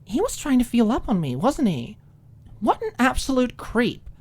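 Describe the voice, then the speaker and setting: A woman voicing a prim, expressive college student, articulate and easily exasperated, in an audiobook. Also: a little high, normal speed, breathy edge, voice pushed up, heavily acted. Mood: indignant.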